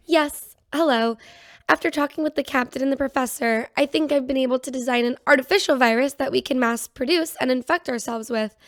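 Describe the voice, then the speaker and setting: An actress playing an eager scientist doctor in a sci-fi radio drama, earnestly explaining. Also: female voice, high voice, a little fast, dry recording, studio quality. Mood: eager, nervous.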